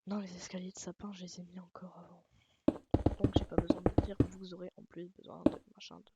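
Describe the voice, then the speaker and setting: Gravelly woman with an Alsatian accent. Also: fairly low pitch, flat delivery, a little fast. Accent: Alsatian French. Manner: rambling, swears, self-mocking jokes. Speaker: gaming channel host. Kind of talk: casual game tutorial commentary. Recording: loud background noise.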